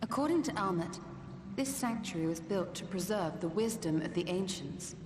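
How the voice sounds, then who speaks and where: A woman playing a calm, formal, scholarly woman in a fantasy video game, speaking in a measured, grave way. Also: on the low side, reverberant, big-room sound, background noise, close to an everyday voice. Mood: grave, thoughtful. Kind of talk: dramatic video game cutscene acting.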